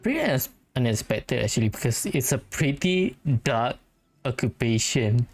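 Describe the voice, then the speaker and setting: A woman's voice: rumbling low, big pitch swings, moderate pace. A woman giving casual, chatty and slightly hesitant playthrough commentary as a gaming streamer. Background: game music.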